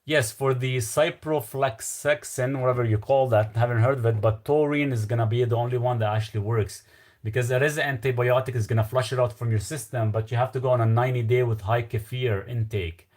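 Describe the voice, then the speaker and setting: Rambling man with a Lebanese accent. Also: medium pitch. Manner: loose and rambling, trailing off with fillers. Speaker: livestream host answering viewer questions. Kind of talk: casual live Q&A, reading out chat questions.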